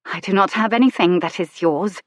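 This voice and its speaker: A woman's voice: mid-range voice, normal speed, no echo, clean studio sound, natural voice. A woman voicing a fierce young lady in a period storybook, defiant and protective. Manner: defiant, sharp.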